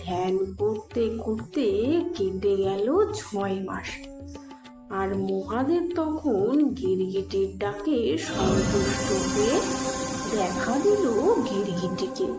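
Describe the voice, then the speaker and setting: A woman's voice: medium pitch, sing-song, slow. A woman narrating a scripted cartoon story as a storyteller, in an echoey recording with music. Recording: echoey voice under loud music.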